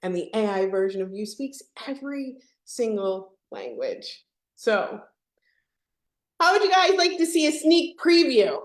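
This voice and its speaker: A woman's voice: a little high, very animated, measured pace. A woman hosting an online product webinar with an upbeat, chatty sales style, often tagging her points with "right?". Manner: chatty, peppered with "right?" tags. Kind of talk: upbeat live sales presentation. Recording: echoey, roomy sound.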